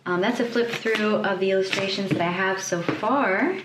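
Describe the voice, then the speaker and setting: A woman illustrator chatting casually on her vlog, hesitant, often pausing and repeating words as she thinks. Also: medium pitch. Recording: echoey room, clear background noise.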